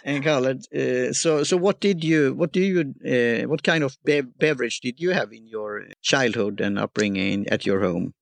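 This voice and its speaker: Male host, gravelly, halting, dry sound. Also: medium pitch, dry recording. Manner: halting, searching for words, many uhs. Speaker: talk show host. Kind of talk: casual interview chat.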